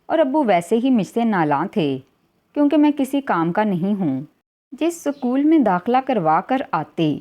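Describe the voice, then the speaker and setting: A woman's voice: mid-range voice, lo-fi sound. A woman narrating a scripted first-person story as a storyteller.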